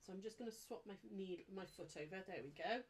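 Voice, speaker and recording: A woman's voice: medium pitch, brisk, slight background noise. A woman with a snuffly, blocked nose, hosting a casual live sewing tutorial and chatting with her viewers. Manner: chatty and apologetic, chats with live viewers while demonstrating. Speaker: sewing teacher hosting a live craft demo.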